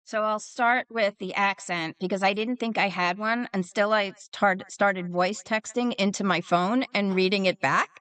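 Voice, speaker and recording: A woman's voice: mid-range voice, normal speed, hardly any pauses, fairly bright tone. A woman with a Long Island accent, chatting as a guest in a casual, filler-filled way. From Long Island, New York. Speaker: interior designer and therapist guest. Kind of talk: casual panel chat. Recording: voice distant from the mic.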